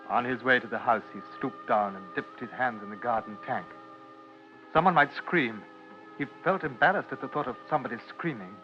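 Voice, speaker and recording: An actor playing a grave literary storyteller, narrating a radio drama in a measured, solemn way. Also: male voice, mid-range voice, close to an everyday voice. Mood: somber. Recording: dull old radio recording, faint music.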